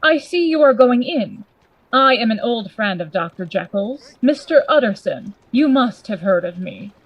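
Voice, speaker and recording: A woman playing a grave, worried gentleman lawyer in a Gothic radio play, formal and earnest in manner. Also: a little high, big pitch swings, moderate pace, husky, no echo, highs cut off, heavily acted. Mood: wary, polite.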